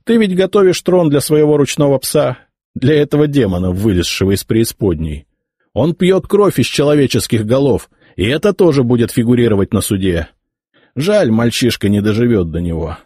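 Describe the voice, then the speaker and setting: A man's voice: mid-range voice, big pitch swings, normal speed, dark tone, slightly rough, dry recording, clean studio sound, voice pushed up. A man voicing an arrogant young heir to the throne who mocks and threatens his old uncle. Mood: sneering. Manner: contemptuous, mocking. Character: arrogant young male emperor's heir, sneering. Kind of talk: audiobook character voicing.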